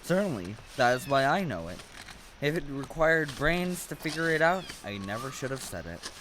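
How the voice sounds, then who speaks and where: An actor playing an earnest, animated living scarecrow. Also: mid-range voice, normal speed, lightly acted. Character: living scarecrow, friendly, helpful, earnest companion. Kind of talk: stage audio play acting. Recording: live stage recording with faint noise.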